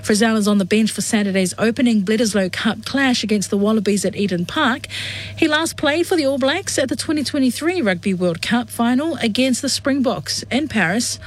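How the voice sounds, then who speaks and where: A woman reading a radio news bulletin in a steady, clear newsreader delivery. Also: on the high side, a little fast. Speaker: news presenter.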